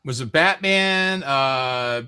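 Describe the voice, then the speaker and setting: Bright, forceful male livestream host. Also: medium pitch, drawn-out words, clear, resonant tone. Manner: irreverent, joking, profane. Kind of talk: casual chat reading out viewer comments.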